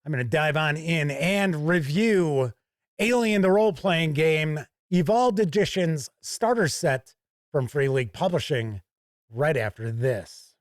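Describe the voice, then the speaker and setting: A man hosting a game review to camera, presenting in a deliberate, emphatic way from an indoor studio. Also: a little high, animated, dry recording. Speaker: host and board game reviewer.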